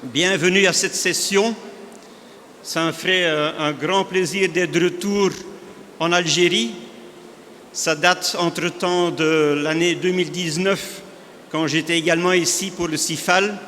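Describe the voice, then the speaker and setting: A man from northern Belgium with a Flemish accent, a native Dutch speaker, giving a measured conference talk with frequent restarts. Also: high voice, steady tone, pushed and bright, slight background noise. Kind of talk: conference presentation. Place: large conference hall. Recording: reverberant hall, faint ambience.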